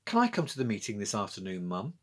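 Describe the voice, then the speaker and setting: A man's voice: lowish voice, huge pitch swings, quick pace. A man voicing a plucky, earnest young schoolgirl detective in a children's storybook, with an urgent, forthright manner. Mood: pleading.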